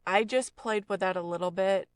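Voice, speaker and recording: A woman narrating a casual software tutorial as an audio instructor, explaining informally and thinking aloud. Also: mid-range voice, steady tone, normal speed, smooth, no echo, studio quality.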